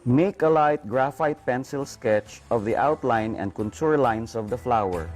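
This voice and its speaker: A man's voice: medium pitch, lively intonation, measured pace. A man with a Filipino accent delivering scripted lesson narration as an art instructor. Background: background music.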